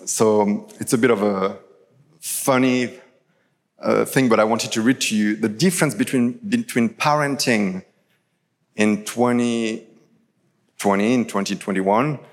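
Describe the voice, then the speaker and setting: A man in his thirties, originally from France, with a French accent, preaching a chatty live sermon full of fillers. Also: on the low side. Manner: casual, chatty storytelling with many fillers. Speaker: pastor. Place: large church hall. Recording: live church recording with long hall reverb.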